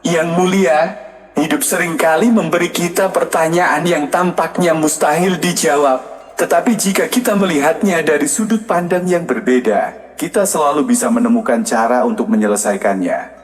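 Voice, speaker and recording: A man voicing a calm, clever male court wit who speaks courteously in a storybook tale. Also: fairly high pitch, moderate pace, voice pushed up, natural voice. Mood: respectful. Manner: composed, courteous. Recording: long reverb, music behind.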